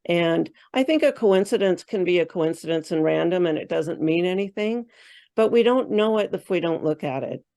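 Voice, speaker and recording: Woman, low voice, spiritual coach guest, rambling loosely with fillers. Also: animated, normal speed, warm tone. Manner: thinks aloud with many fillers and restarts. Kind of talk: casual interview chat.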